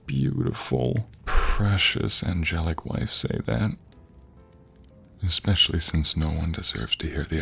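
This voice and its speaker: Behind soft music, a man acts out a doting, sappy husband in an intimate ASMR roleplay. His low voice is husky and breathy. He coaxes and teases his wife tenderly in murmurs and whispers. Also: exaggerated voice. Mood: tender, coaxing. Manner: murmured coaxing with frequent sighs and breathy whispers.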